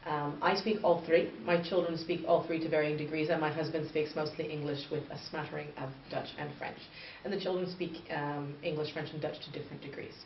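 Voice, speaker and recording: A woman's voice: deep voice, fairly even intonation, a little fast. A woman in her thirties from northern Canada, a bilingual education expert answering interview questions in an earnest, explanatory way. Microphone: far from the mic. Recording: distant, echoey, muffled with faint noise.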